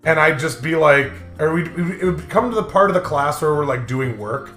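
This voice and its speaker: Young man, American accent, slightly high voice, rambling joking chat, roomy echo, faint guitar music. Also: animated, normal speed. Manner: rambling, sarcastic dark humour. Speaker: co-host of a game playthrough. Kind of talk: casual joking chat, storytelling.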